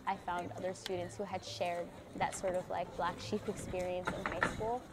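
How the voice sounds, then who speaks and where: A woman's voice: on the low side, little pitch movement, normal speed, very noisy. A young woman, a college student, reflecting casually in an interview-style testimonial with filler-heavy phrasing. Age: young adult. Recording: loud music bed behind voice. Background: music.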